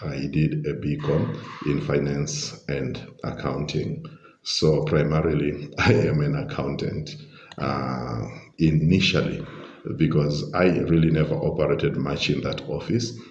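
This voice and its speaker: A middle-aged man with a Zimbabwean accent, giving measured, genial, explanatory answers as an interview guest. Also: a bass voice, steady tone, a little mellow, a little hiss or hum. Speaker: podcast guest, leadership coach and pastor. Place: restaurant. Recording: dull, roomy, echoing sound.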